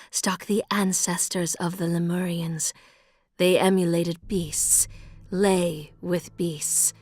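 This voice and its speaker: An actress playing an exalted, haughty and seductive high priestess, delivering a theatrical, incantatory monologue for a fantasy video game. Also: female voice. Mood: solemn storytelling. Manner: grandiose, incantatory, sensual.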